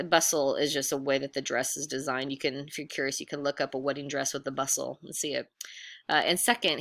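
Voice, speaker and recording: A woman reads a children's storybook in a bright, forceful voice that sits a little low. She takes her time with the text and adds playful, amused asides straight to the listener. The sound is dry and close. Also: dry recording. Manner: playful, amused, animated, addresses listeners directly. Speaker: read-aloud storyteller. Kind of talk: picture-book read-aloud with casual asides.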